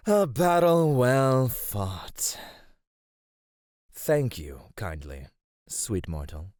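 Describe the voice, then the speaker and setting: A man playing a flirty, amused god of radiance in an ASMR roleplay, teasing and theatrical, with soft laughs. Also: lowish voice, no echo, clean studio sound.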